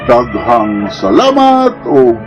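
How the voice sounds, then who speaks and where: A man hosting a radio advice program, talking in a halting way as he addresses listeners. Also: mid-range voice, wildly swooping pitch. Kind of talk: radio talk and sign-off. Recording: dull radio sound over music. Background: music.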